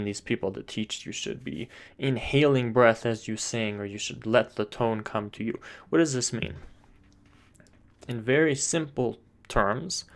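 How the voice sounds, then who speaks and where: A man at an ordinary middle pitch gives a spoken chant lesson. He explains patiently and plainly, leaning on "sort of" and brief pauses as he works through each point. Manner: calm explanatory, frequent "sort of" and "uh" fillers. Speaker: chant teacher.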